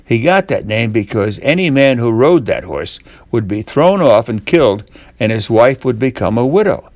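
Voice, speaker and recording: An older man reads a tall tale as a bedtime story, expressive and deliberate, drawing out key words. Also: medium pitch, no echo. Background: guitar music.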